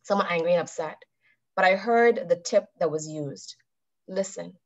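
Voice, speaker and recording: A woman in her thirties giving a calm, measured talk to a club over a video call and putting questions to her listeners. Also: on the low side. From Bahamas. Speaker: club speaker. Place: indoors with a virtual background. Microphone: webcam on a video call. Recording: dull video-call audio.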